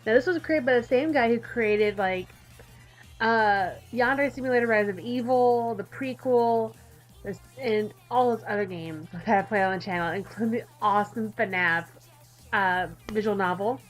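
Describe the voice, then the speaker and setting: A woman's voice: medium pitch, moderate pace, faint music behind, low-quality recording. A woman hosting a gaming channel with casual, chatty, giggly and enthusiastic playthrough commentary.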